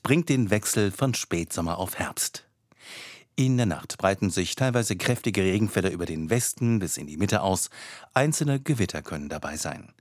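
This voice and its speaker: A man's voice: lowish voice, dry recording, studio quality. A man reading a scripted broadcast weather forecast.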